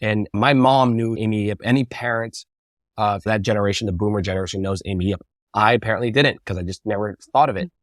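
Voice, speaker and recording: A man being interviewed, telling casual anecdotes and acting out quotes with animated energy. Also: fairly low pitch, quick pace, no room sound, studio quality. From United States. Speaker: interview guest, bubble tea entrepreneur.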